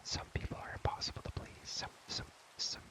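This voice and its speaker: A young man whispering breathily close to the microphone in an ASMR style, repeating words and phrases over and over as he rambles playfully. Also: brisk. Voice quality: breathy, mostly unvoiced whisper. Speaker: ASMR creator and host.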